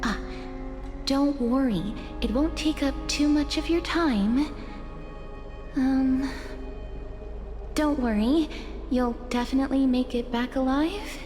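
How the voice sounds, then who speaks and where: Shy awkward fantasy game girl, high voice, hesitant and apologetic, music under it. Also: slow pace, pauses often, big-room sound. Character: shy, awkward, well-meaning young woman in fantasy game. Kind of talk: video game voice acting.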